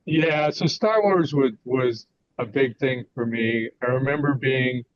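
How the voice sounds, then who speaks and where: An older American man answering interview questions as a guest author, conversational and a little halting as he thinks his answers through. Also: medium pitch, a little hiss or hum. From United States, California. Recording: dull lo-fi audio.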